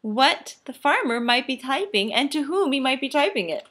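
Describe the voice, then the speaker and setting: A woman's voice: high voice, very animated, normal speed, fairly bright tone. A woman teacher reading a children's picture book aloud, expressively and with careful, instructive phrasing. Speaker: teacher demonstrating a read-aloud.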